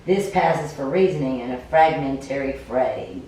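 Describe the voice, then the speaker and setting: Low voiced lady cold reading a story game, stumbles a bit, super echoey and far from the mic w faint noise. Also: animated, moderate pace, warm tone. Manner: stumbles over words while reading. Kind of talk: cold read of game narration with casual commentary.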